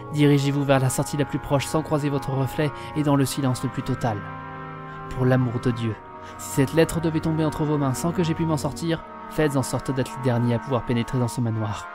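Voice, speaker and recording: A man playing a grave old estate owner from the 1920s, reading a formal warning letter in measured, literary phrasing. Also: mid-range voice, steady tone, music in the background, close to an everyday voice. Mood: grave, urgent. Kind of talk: formal letter read as dramatic voice-over.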